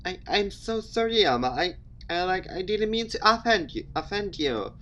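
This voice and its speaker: A man voicing a troubled, prickly young man in a visual novel, halting and defensive as he speaks. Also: high voice, sing-song, pushed and bright, pitched above the performer's own voice, full character voice. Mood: flustered, apologetic. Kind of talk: visual novel dialogue read aloud.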